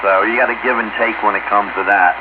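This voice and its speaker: Man, easygoing folksy talk, casual radio chat, dull band-limited sound, very loud static. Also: lowish voice, steady tone, fast talker. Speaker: amateur radio operator. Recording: muffled radio transmission, heavy static.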